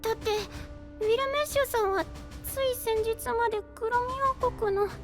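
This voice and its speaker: Casting: tiny earnest boy knight, extremely high childlike voice, courteous and wide-eyed, flusters easily, anime drama with music behind. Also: steady tone, moderate pace. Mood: bewildered. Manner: polite, eager, wide-eyed. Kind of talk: anime-style voice drama acting.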